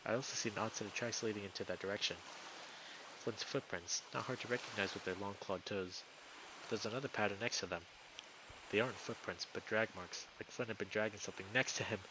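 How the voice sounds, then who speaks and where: A man's voice: on the low side, quick pace, noisy background. A man reading a visual novel aloud as a streamer, in dramatic first-person narration.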